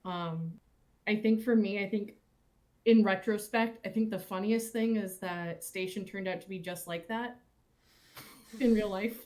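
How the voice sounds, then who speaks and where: A woman in a reflective, casual panel conversation, speaking in halting, thoughtful phrases. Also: mid-range voice, darkish tone. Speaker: cast or crew member on a panel.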